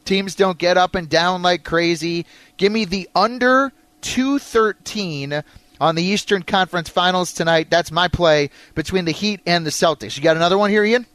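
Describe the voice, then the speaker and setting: High-pitched male sports radio host. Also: moderate pace, a bit bright, no room sound. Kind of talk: sports talk and show announcements.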